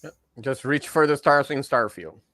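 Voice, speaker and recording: A man's voice: mid-range voice, lively intonation, normal speed, a bit bright, dry recording. Male podcast panelist, dry close sound. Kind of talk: casual chat.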